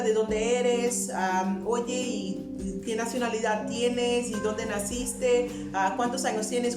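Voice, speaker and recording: A woman in her twenties from Portugal, earnestly sharing her personal faith testimony in a conversational way. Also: a little high. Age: 20s. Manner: earnest, conversational, frequent rhetorical "verdad". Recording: roomy echo with music underneath.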